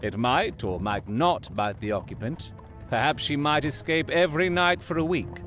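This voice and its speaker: An actor playing a brilliant Victorian detective in an audio drama, coolly analytical, with precise, theatrical, formal delivery. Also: male voice, a little high, normal speed, fairly bright tone, faint music behind, natural voice. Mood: grave, deliberate.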